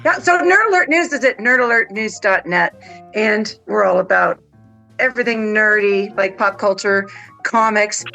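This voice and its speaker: A woman's voice: medium pitch, normal speed, creaky, pushed and bright, soft background music, low-quality recording. A woman guest chatting casually and enthusiastically, like a keen fan. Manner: enthusiastic, nerdy fan talk. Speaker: pop culture news guest. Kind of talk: casual chat.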